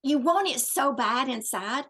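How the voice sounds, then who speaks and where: Upbeat motivational woman, church coach, bright voice pitched a little high. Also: expressive, a little slow. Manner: upbeat, motivational, uses faith talk. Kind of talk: interview chat.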